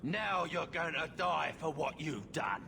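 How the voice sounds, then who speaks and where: An actor playing a vengeful, threatening bandit marauder in a fantasy game. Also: male voice, on the high side, big pitch swings, unhurried, heavily acted. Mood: menacing. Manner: threatening, declaiming. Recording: clear background noise.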